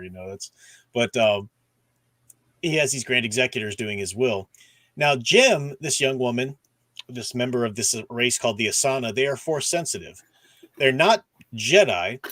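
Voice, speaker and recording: A man's voice: medium pitch, fairly bright tone, dry recording. A man hosting a discussion stream, casually recapping and explaining in an enthusiastic, conversational way. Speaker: host of a book discussion stream.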